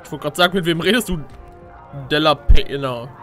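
A man's voice: a little high, brisk, a bit bright, soft background music. A young man giving casual, joking game commentary on a headset, playful and often exclaiming. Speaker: let's play gamer. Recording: game noise behind voice. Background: video game sounds.

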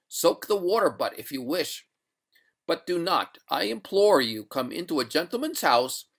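An exasperated gentleman of the house , played by a man, with a creaky edge and a slightly high male pitch. He speaks in formal, old-fashioned phrases, dryly witty and sharp-tempered, as in a storybook. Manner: formal, asperity, dry sarcasm. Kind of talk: storybook character voice.

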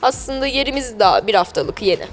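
Fast-talking, higher-voiced woman TV host. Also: expressive, a little hiss or hum. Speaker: TV programme host interviewing business owners. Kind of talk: upbeat local TV interview presenting. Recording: music behind the voice. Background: background music.

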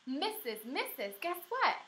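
An eager, boastful kindergarten girl as a woman reader plays her tells her own storybook tale. The voice is high and very bright, childlike and emphatic, with a pushy streak. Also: sing-song, a little slow, exaggerated voice. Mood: pushy, pleading.

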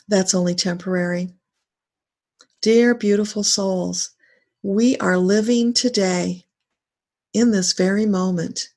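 A woman delivers a guided meditation as a spiritual teacher. She speaks slowly and solemnly, leaving space between short phrases. Her mid-pitched voice has a strong creak to it. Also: darkish tone. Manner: deliberate short phrases with long pauses, solemn.

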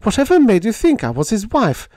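A man's voice: high voice, wildly swooping pitch, measured pace, a little mellow, no echo, clean studio sound, voice pushed up, heavily acted. A man voicing a spirited, prim young woman who is easily indignant, in a pulp science fiction story. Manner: prim, emphatic.

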